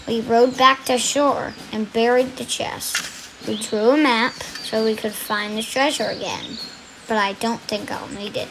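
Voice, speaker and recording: A woman reading a children's storybook aloud in an expressive narrating style. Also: fairly high pitch, vocal fry, rough recording. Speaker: children's book reader. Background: music.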